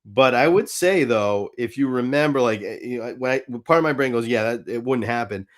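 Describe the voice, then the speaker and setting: A man co-hosting a podcast in casual fan chat, halting and interrupting himself, with some mild swearing. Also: medium pitch. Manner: halting, self-interrupting, mildly profane. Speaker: podcast co-host.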